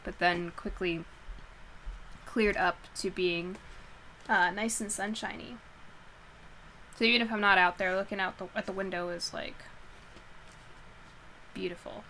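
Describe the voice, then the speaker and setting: Female stream host, slightly nasal, rambling friendly chatter, middle pitch, headset mic at home. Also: a little slow, long pauses, fairly bright tone, slight background noise, rough recording. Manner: rambling, chatty, laughs easily. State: a little nasal. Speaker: live stream host and book reader. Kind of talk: casual chat with viewers before a reading. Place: at home in her bedroom. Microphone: headset while wearing headphones.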